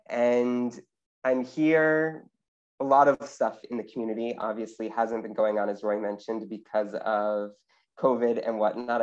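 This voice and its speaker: A man in his twenties from Connecticut introducing himself on a panel, relaxed, with frequent ums and uhs. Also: lowish voice, lo-fi sound. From Connecticut, USA. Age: 20s. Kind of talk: personal introduction.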